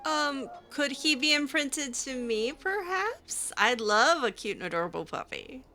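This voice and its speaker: A woman's voice: sits high, lively intonation, unhurried, sharp tone, soft background music. A woman improvising in character during a video game playthrough, chatty and hesitant with a cutesy touch. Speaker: roleplay gamer voicing her character.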